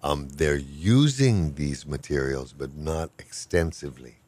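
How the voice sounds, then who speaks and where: An older man with an extremely deep, low voice talks in a relaxed, rambling way. He is good-humored and self-deprecating, a professor chatting through an interview. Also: unhurried, no room sound. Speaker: professor of Hawaiian language. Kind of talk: casual interview talk.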